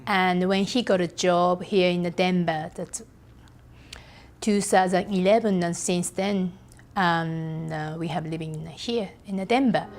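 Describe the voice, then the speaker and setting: Slow, halting Japanese-accented woman. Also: on the low side, fairly even intonation, slight background noise. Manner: halting, searching for words, many pauses and fillers. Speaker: artist guest. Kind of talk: podcast interview.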